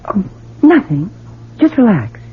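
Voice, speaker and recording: A woman's voice: medium pitch, huge pitch swings, takes it slowly. An actress playing a doting, defensive wife and overprotective adoptive mother in a 1970s radio drama, theatrical and emphatic. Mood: evasive, defensive. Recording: tinny, noisy 1970s radio broadcast recording.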